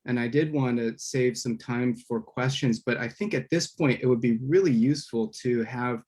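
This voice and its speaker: Male moderator on a video call, halting and filler-heavy, mid-range pitch. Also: a little mellow, slightly rough. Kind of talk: online panel moderation.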